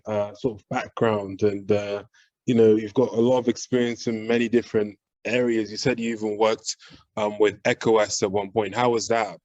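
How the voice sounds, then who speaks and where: A man's voice: on the low side, darkish tone, no room sound, lo-fi sound. A man hosting an interview, enthusiastic and often repeating his words as he asks questions. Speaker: podcast host. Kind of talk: casual interview.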